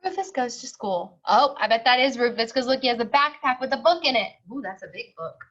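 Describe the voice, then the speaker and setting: A woman's voice: a little high, lively intonation, fairly quick, a bit bright, dull audio. A woman teacher reading a picture book aloud to young children, expressively, with simple explanatory asides.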